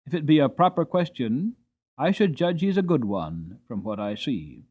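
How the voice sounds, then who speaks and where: A man doing a soft-spoken old hostler. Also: mid-range voice, very animated, normal speed, slightly rough, dull audio. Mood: musing. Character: kindly old male stable hostler, folksy.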